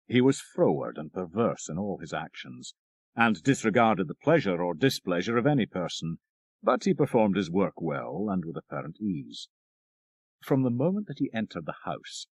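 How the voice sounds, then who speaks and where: Low-voiced, expressive male storyteller. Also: dry recording. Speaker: audiobook narrator. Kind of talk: literary narration of a classic supernatural tale.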